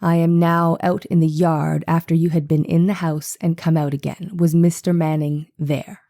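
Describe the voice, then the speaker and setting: A woman playing a male defense attorney in a courtroom drama reading, questioning in a formal, deliberate, insistent and precise way. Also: low voice, level tone, warm tone. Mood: methodical, probing. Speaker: voice actor reading a trial transcript.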